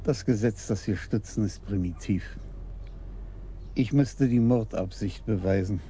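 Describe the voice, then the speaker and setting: An actor playing a principled police commissioner in a German radio crime drama, questioning in a measured, terse way. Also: male voice, fairly low pitch, warm tone, natural voice. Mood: dry, probing. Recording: clearly noisy 1980s radio drama recording.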